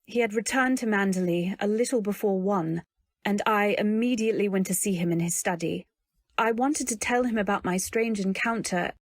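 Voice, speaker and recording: A woman narrating and acting a young wife in an old-time radio drama, earnest, anxious, and gentle. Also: mid-range voice, no echo. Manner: earnest first-person storytelling, anxious and gentle. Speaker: narrator and actor.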